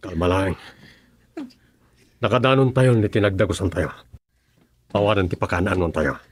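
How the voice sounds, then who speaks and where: An actor playing a calm escort in a radio drama who speaks in a steady, reassuring way. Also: male voice, low-pitched, moderate pace, dry recording.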